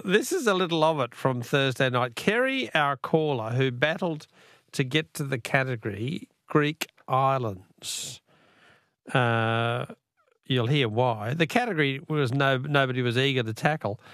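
A man's voice: medium pitch, fairly bright tone, no echo. An Australian man hosting late-night talkback radio in a studio, jovial and chatty, laughing often. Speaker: radio host. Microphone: studio microphone.

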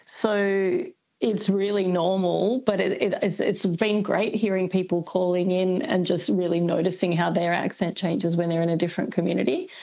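A woman's voice: lowish voice, fairly even intonation, normal speed, vocal fry, darkish tone, dull audio. A woman with an Australian accent, speaking as an expert guest in a radio discussion, explaining in plain, affirming terms. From Melbourne, Australia.

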